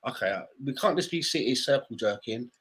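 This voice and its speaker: A man's voice: medium pitch, expressive, a little fast, a bit bright, husky. A man on a casual sports podcast, blunt and swearing freely as he argues. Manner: blunt, swears freely, plays devil's advocate. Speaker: podcast co-host.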